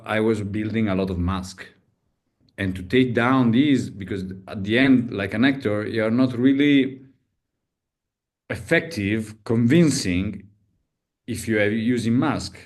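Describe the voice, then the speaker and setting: A low-voiced man hosts a coaching webinar. He talks in an unhurried, hesitant way, feeling for words with phrasing, speeding up and slowing down as he explains. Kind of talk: casual webinar talk.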